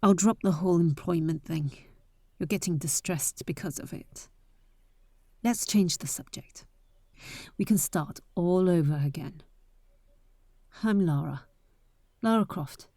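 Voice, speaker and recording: A woman playing a bold, impatient but caring adventurer in an intimate roleplay audio drama, with breathy, teasing, exasperated delivery. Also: on the low side, a little slow, pauses often, mellow, no room sound, studio quality. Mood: caring, calm.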